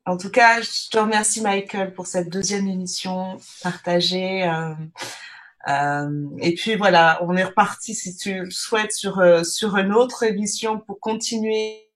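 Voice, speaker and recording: Animatrice qui conclut l'émission. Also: female voice, mid-range voice, steady tone, unhurried. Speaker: show host. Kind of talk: casual radio interview.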